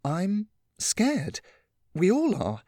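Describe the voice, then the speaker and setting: A man reading a story, voicing a caring adult woman who talks softly and patiently. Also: high voice, wildly swooping pitch, very slow, dry recording, clean studio sound, pitched above the performer's own voice, heavily acted. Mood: soft reassuring. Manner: soft, patient, comforting. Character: gentle caring adult woman, calm and reassuring.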